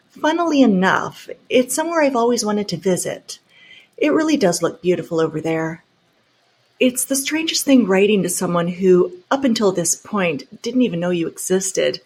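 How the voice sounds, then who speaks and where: A woman hosting a show, reading letters aloud in an earnest, measured way and adding pointed comments of her own. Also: fairly low pitch.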